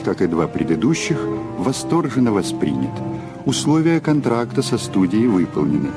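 A man with a fairly low voice narrates an audio drama, with music clearly playing behind him. His delivery is scripted and dramatic, telling the story in the third person.

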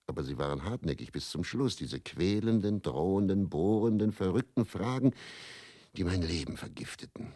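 A very deep-voiced, brooding faith healer. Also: male voice, animated, dark tone, dry recording, clean studio sound. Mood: bitter, tormented. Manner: brooding, confessional, deliberate with rhetorical repetition. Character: weary, self-doubting male faith healer, whisky-drinking travelling showman. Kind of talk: German radio play dramatic monologue.

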